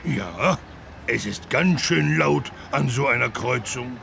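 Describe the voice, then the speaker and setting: A man voicing a big, good-natured, childlike talking elephant with a gravelly, naive, wondering delivery in a children's cartoon game. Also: mid-range voice, lively intonation, slow pace, a bit bright, exaggerated voice. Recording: street traffic noise behind the voice.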